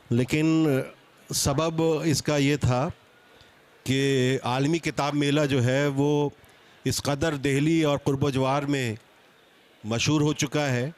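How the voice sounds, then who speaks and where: A man moderating a panel, speaking off the cuff in a conversational way with pauses and restarts as he introduces guests. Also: mid-range voice. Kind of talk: extempore seminar introductions. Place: public seminar at a book event.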